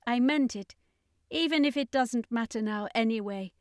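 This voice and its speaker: Woman as fierce commanding heroine, high pitch, forceful, exclaiming, audio adventure. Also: normal speed, smooth, no echo, studio quality, close to an everyday voice. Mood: sombre. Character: fierce, commanding defender of the circus heroes.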